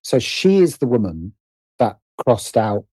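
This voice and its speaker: A man's voice: mid-range voice, very animated, measured pace, darkish tone, breathy edge, dry recording. A middle-aged British man speaking reflectively as an interview guest, often stopping to rephrase himself. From Britain. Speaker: guest, writer and producer.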